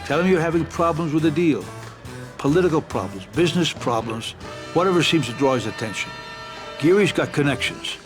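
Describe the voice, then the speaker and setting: An actor playing a careful, shrewd American mafia adviser in a video game, instructive and businesslike. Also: male voice, on the high side, lively intonation, fairly quick, natural voice. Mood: cautious, instructive. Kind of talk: video game cutscene acting. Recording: music clearly behind the voice.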